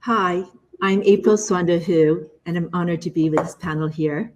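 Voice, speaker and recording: Low, mellow-voiced woman entrepreneur. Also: normal speed. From United States. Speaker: panelist, entrepreneur. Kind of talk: self-introduction on a panel.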